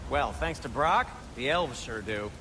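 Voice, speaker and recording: A man's voice: mid-range voice, animated, a little slow, lightly acted. An actor playing a fussy, squeamish, nervously polite dwarf craftsman in a video game, with a hesitant, rambling manner. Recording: clear storm noise behind.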